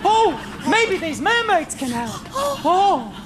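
An actor playing an excitable, naive wooden puppet boy in a pantomime, wide-eyed and exclaiming. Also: very high-pitched, takes it slowly, over a noisy crowd, exaggerated voice. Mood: searching. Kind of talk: broad pantomime acting. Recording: studio with audience noise.

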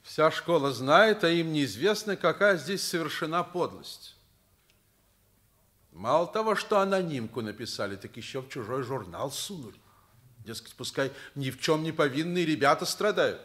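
A man's voice: on the high side, expressive, normal speed, a bit bright. An actor playing a stern, wounded middle-aged principal and father in a Soviet radio play, emphatic and moralizing. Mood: indignant. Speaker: stage actor.

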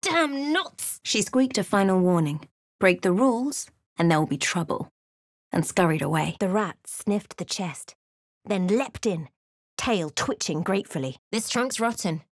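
A watchful fantasy game heroine recounting her travels. She speaks in a measured, dramatic storyteller's way with a wry edge. Her mid-pitched female voice is recorded very dry. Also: lively intonation, no echo. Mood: exclaiming. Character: observant young adventuress, a fantasy game companion recounting her journey. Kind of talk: video game voice lines, dramatic narration and remarks.

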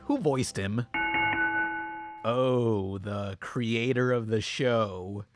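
A man delivers a scripted review in a sarcastic manner. His voice sits at a middling pitch, with faint music underneath. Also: a bit bright. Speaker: cartoon reviewer and host.

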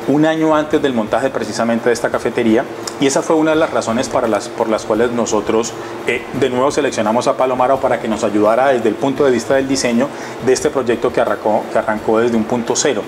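A man in his fifties from Colombia speaks in an even, mid-pitched voice, explaining things steadily with the odd restart. He wears a lapel mic in a large industrial kitchen, with clear background hum. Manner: measured, explanatory, with hesitations and restarts. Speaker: university facilities manager. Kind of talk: testimonial interview.

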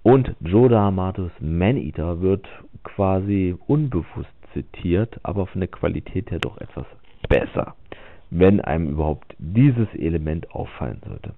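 A man's voice: sits low, animated, a little slow. A man with a German accent giving a casual spoken film review as a voice-over, hesitating often with fillers. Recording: dry, tinny phone-like recording.

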